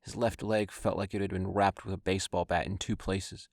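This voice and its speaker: A man's voice: low voice, little pitch movement, a little fast, vocal fry, no echo, studio quality. A man narrating a scripted story in a dramatic style, as the narrator of an audio drama. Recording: loud music behind the voice. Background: loud dramatic music.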